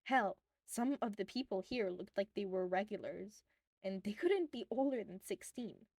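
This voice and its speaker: A woman's voice: medium pitch, expressive, moderate pace, a little mellow, dry recording, studio quality. A woman reading fan fiction aloud as scripted, audiobook-style narration.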